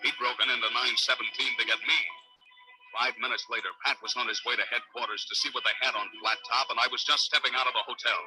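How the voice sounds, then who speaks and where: An actor playing a tough, brisk American police detective in a clipped, hard-boiled 1940s radio serial style. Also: male voice, mid-range voice, fairly even intonation, music in the background, close to an everyday voice, low-quality recording. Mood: brisk narrating. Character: tough, brisk male police detective narrating his case. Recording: old 1940s radio recording.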